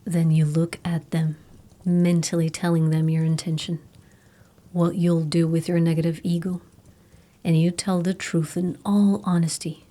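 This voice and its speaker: A woman narrating a scripted guided meditation in a soothing, hushed, soft and breathy manner. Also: fairly low pitch, level tone. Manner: soothing, slow, hushed delivery. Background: meditation music.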